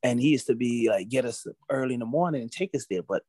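A man in his forties from Jamaica, raised in Brooklyn, chatting as an interview guest, relaxed and full of "you know.". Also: medium pitch, fast, dry recording. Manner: relaxed, repeats himself, many "you know"s. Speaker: guest musician. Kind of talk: casual interview talk.

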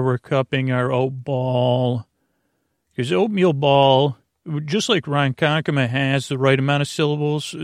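A man hosting a bedtime podcast in a meandering, halting monologue, often trailing off mid-thought, with a creaky, gravelly voice. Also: mid-range voice, dry recording, clean studio sound. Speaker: podcast host. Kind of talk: rambling improvised bedtime monologue.

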